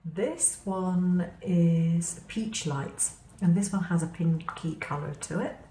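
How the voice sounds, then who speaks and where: Slightly hoarse woman, slow and roomy. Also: on the low side, mellow. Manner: unhurried, chatty explaining while handling materials. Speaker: craft vlogger. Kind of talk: casual product demonstration. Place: indoors at a crafting table. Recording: roomy echo with faint background hum.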